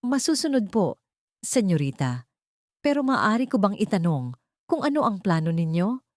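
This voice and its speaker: A woman's voice: medium pitch, big pitch swings, normal speed, no room sound, clean studio sound, natural voice. A woman playing a deferential male family lawyer in an audio story, speaking politely and formally. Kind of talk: dramatic audio story acting.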